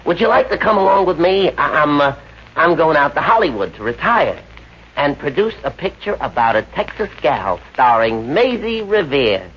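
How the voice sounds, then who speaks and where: A man's voice: a little high, sing-song, moderate pace, slightly rough. An actor playing a gullible, hapless young man with a hesitant stammer, in a 1950s radio comedy. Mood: smug, breezy. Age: young adult. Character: gullible, hapless lovesick young man turned smug rich. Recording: muffled old radio recording.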